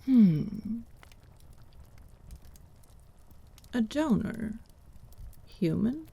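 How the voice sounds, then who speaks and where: A woman's voice: a little high, creaky, mellow, breathy edge, heavily acted. A woman playing a haughty, teasing country healer in a fantasy audio drama, arch and inquisitive. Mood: probing, thoughtful.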